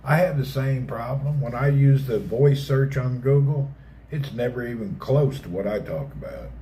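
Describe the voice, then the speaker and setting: Southern guy on a livestream, mellow low-key voice, jokes around and cusses, faint hum behind. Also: expressive, moderate pace. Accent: Southern American. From American South. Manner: folksy, joking, reads chat aloud, swears casually. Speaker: livestream host. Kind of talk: casual live-stream chat with viewers.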